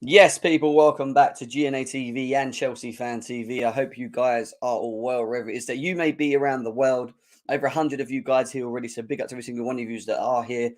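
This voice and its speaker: A young man, his voice hoarse and croaky, hosting a live football fan show and chatting energetically while clearing his throat. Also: mid-range voice, steady tone, quick pace. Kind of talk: live-stream chat.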